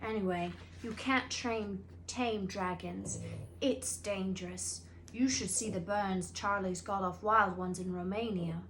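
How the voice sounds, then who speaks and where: A woman voicing an excitable, blunt schoolboy in a storybook, talking emphatically and informally. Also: mid-range voice, a little slow, a little hiss or hum, natural voice, low-quality recording. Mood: insistent. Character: excitable, blunt schoolboy, eager know-it-all about dragons.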